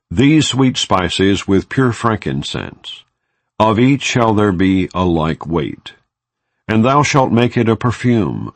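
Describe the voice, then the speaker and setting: A man narrating an audiobook of scripture in a solemn, measured, formal manner. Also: fairly low pitch, no echo. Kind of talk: scripture reading, non-dramatized audiobook narration.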